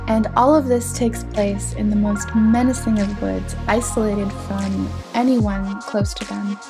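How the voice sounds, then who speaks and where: A young woman hosting a film analysis video, chatty with playful asides, slightly hoarse from losing her voice. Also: mid-range voice, steady tone, a little slow, music in the background. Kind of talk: film analysis talk to camera.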